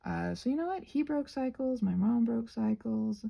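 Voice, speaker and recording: A woman rambles casually in a mellow, relaxed voice thick with creak. Her tone is husky and breathy, often thinning toward a whisper. She talks in a halting way, interrupting herself as she goes, as on an informal ASMR vlog. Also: fairly high pitch. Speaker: host of a craft ramble video.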